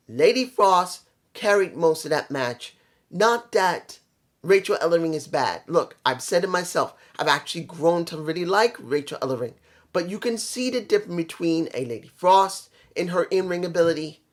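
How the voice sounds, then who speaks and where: A slightly hoarse vlogger giving a casual, opinionated wrestling review, conversational and repeating points for emphasis. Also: mid-range voice.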